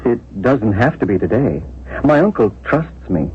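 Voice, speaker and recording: An actor playing a polite, earnest nephew in a 1970s radio drama, speaking and narrating in a courteous, measured way. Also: male voice, mid-range voice, sing-song. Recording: dull, faintly hissy 1970s radio recording.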